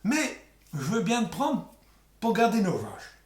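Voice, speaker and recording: A man voicing a short, round countrywoman in a folk tale, gruff, bossy and blunt. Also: sits high, wildly swooping pitch, a little slow, roomy sound, pitched above the performer's own voice, heavily acted. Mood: grudging.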